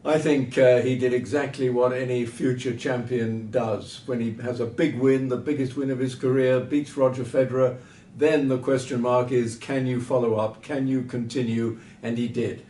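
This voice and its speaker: A man gives unscripted sports analysis in a mid-pitched voice. He lays out firm opinions in short, measured phrases. The sound is echoey, with a faint rumble behind him. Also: steady tone, mellow. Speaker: tennis pundit. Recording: roomy, distant mic with background rumble.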